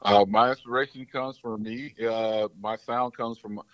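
A man from Mississippi and New Orleans, a rapper talking loudly and casually in slang. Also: fairly low pitch, fairly even intonation, normal speed, dry recording. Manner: loud, slangy, laid-back. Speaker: rapper guest. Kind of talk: casual interview chat. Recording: dull lo-fi dry sound.

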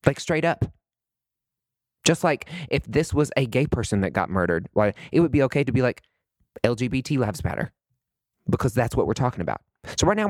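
A man's voice: on the low side, no echo, clean studio sound. A young man giving a sassy, emphatic rant to the camera, calling people honey and sweetie. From American South. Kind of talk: impassioned direct-to-camera rant.

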